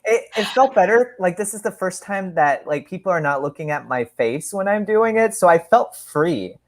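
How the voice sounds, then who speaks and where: A woman co-hosts a casual podcast chat in a low-pitched voice. Her delivery is effusive, with lively swings up and down in pitch. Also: normal speed. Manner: effusive, animated. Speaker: podcast co-host and story reader.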